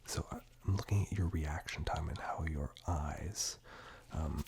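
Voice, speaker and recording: A man performing whispered ASMR roleplay in a gentle, reassuring, unhurried manner, very close on a binaural microphone. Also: extremely low pitch, gravelly, a little hiss or hum.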